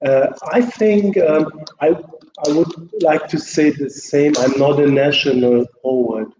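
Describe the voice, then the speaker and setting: A man's voice: a little high, measured pace, darkish tone. A German man from East Berlin, a poet speaking English as a second language, talking frankly and drifting between thoughts. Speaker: poet on a festival panel. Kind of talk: unscripted panel talk in English. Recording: echoey hall, dull sound, faint hiss.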